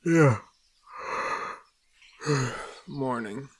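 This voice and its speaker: A man's voice: medium pitch, very slow, slight background noise. A man playing a reserved young trainee of few words in an audio drama, subdued and terse. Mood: low-key.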